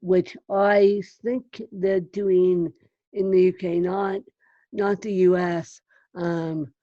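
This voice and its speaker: A woman hosting an interview podcast, speaking deliberately as she puts questions to a guest. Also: lowish voice, level tone, dry recording, highs cut off. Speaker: podcast host.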